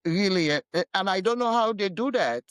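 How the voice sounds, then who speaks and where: A woman with a deep voice hosting a casual interview, speaking haltingly with many broken-off phrases. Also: animated, clear, resonant tone, no echo, clean studio sound. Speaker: interviewer, host.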